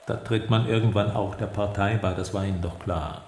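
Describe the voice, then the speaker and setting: An actor playing a controlled, menacing East German state security interrogator in a radio drama, measured and authoritative, with a gravelly voice. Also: male voice, fairly low pitch, fairly even intonation, darkish tone, lightly acted. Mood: cool, threatening. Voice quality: gravelly, creaky. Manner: measured, authoritative, coaxing with threats. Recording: echoey room with faint noise.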